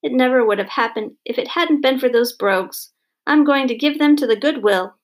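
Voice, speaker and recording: A woman's voice: sits high, fairly quick, pitched above the performer's own voice, natural voice. A woman voicing a flustered, anxious housewife in a storybook, pleading and easily upset. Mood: tearful, upset.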